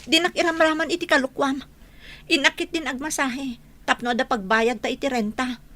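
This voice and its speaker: Village wife, radio drama: scolding and worried, high, sharp voice. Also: female voice, steady tone, normal speed. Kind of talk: Ilocano radio drama acting.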